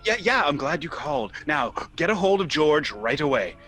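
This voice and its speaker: A man's voice: fairly high pitch, sing-song, normal speed, fairly bright tone, soft background music. Frantic, wildly swooping nephew, played by a man. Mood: flustered. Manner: exasperated, stammering, wildly exclaiming. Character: frantic, appalled nephew discovering his aunts' crimes. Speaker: actor. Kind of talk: theatrical radio comedy acting.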